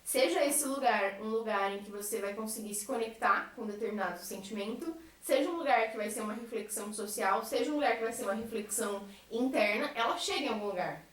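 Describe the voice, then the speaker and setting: A woman's voice: a little high, brisk, hardly any pauses, a bit bright. A young Brazilian woman in casual podcast conversation, explaining things with frequent conversational tags. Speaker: member of a science theatre group. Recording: echoey room, distant from microphone.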